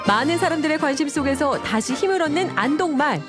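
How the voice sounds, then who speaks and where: A woman reading a scripted TV feature narration in a bright, upbeat and playful way. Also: high-pitched, expressive, fast talker. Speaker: reporter narrating a regional feature. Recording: voice-over with loud music underneath.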